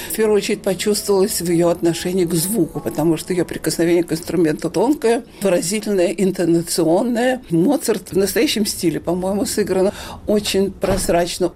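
An older woman, a piano professor, speaking with expressive admiration. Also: on the low side. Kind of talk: admiring commentary.

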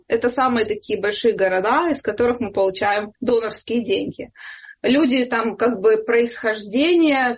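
A woman charity volunteer giving spoken interview answers, telling anecdotes and acting out what people said, in a husky voice. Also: fairly high pitch.

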